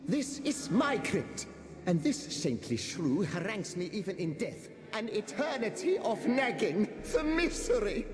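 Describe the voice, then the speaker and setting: A bickering gambler's ghost. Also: male voice, high voice, very animated, measured pace. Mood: indignant. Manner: indignant, theatrical, exasperated. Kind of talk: video game voice acting. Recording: game audio with reverb and music.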